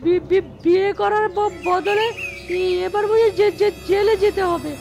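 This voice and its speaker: A woman voicing a sly, cowardly male fox thief in a cartoon, squeaky and stuttering heavily, wheedling in an exaggerated way. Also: fairly even intonation, unhurried, creaky, music in the background, full character voice. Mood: panicked. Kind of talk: cartoon voice acting.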